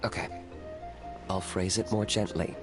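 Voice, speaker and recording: A blunt, deadpan young hunter. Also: male voice, sits low, measured pace. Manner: blunt, matter-of-fact, laid-back. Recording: game audio with music behind.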